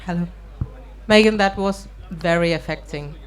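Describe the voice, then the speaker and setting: A woman from India with an Indian accent, an audience member asking a long, earnest, halting question into a microphone at a live event. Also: on the low side. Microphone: shared handheld event microphone.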